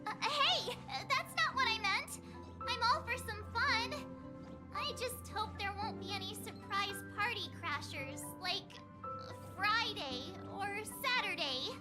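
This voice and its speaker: Very high-pitched bubbly teenage girl. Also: lively intonation, slow, exaggerated voice. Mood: protesting, worried. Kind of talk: video game character acting, anime dub. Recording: loud playful music behind.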